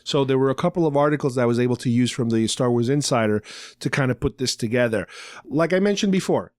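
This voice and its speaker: A man's voice: medium pitch, fast talker, no room sound, studio quality. A middle-aged man hosting a podcast, talking casually and explaining things like an enthusiastic fan. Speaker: podcast host.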